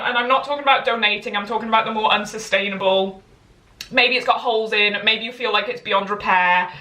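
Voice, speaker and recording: A woman's voice: medium pitch, pushed and bright. A woman with a Welsh accent, giving a chatty live tutorial on sewing from her home, friendly and prone to tangents. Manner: friendly and encouraging. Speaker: sewing teacher. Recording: echoey room.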